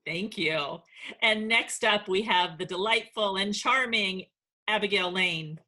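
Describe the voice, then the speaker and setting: Bright-voiced woman hosting a read-aloud. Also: mid-range voice, measured pace. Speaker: host, author and narrator. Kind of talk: read-aloud introduction and story narration.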